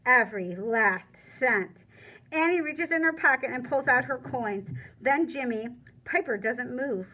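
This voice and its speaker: High-voiced woman reading a novel aloud. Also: normal speed, fairly bright tone, low-quality recording. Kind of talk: expressive read-aloud of a children's novel.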